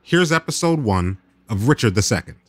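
A man's voice: medium pitch, sing-song, normal speed, no echo, clean studio sound. A man speaking as host and narrator in scripted podcast narration.

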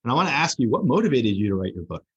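Man, interview host, husky, lively pitch, eager questions. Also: racing, lo-fi sound.

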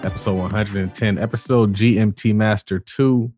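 A man's voice: on the low side, fairly even intonation, normal speed, a little mellow, breathy edge, faint music behind. A man co-hosting a podcast, bantering casually and putting questions to his co-host.

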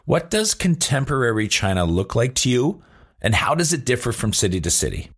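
A man hosting a business podcast, reading a measured scripted intro and then asking loose questions with fillers. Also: medium pitch, sing-song, fairly bright tone, husky. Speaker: podcast host.

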